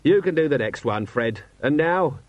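A man's voice: fairly high pitch, expressive, moderate pace, dry recording, dull audio, pitched above the performer's own voice, lightly acted. A man voicing a weary, dry-humoured male watch commander in a storybook, battered and muttering. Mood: wry. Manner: wry, tired, muttering. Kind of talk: audiobook character voice.

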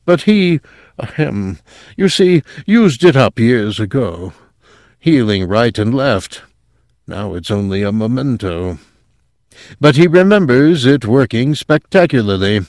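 A man's voice: medium pitch, expressive, takes it slowly, darkish tone, dry recording, voice pushed up. A fussy male technician from an audiobook, acted by a male narrator. He explains in a pedantic, self-satisfied way, heard close in a dry recording. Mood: wry, explanatory. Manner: pedantic, explanatory, hesitant throat-clearing. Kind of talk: audiobook character voice.

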